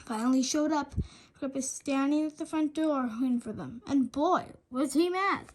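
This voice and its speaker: A woman with a high voice reads a children's storybook aloud. Her delivery is expressive, with the occasional stumble over a word. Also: normal speed. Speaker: read-aloud narrator.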